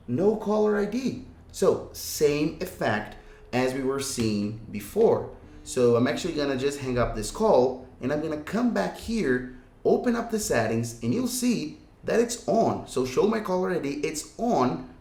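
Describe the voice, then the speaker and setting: A man's voice: a little high, expressive, a little slow, a little mellow. A man presenting a casual step-by-step tech tutorial in an upbeat, emphatic and reassuring manner, often repeating himself for clarity. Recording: roomy, echoing room sound.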